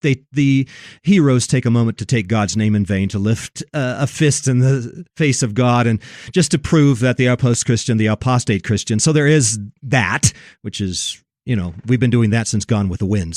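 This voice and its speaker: A man's voice: medium pitch, a little mellow, no room sound, studio quality. A man hosting a religious discussion, giving opinionated commentary in an emphatic, preacherly way that leans on key words.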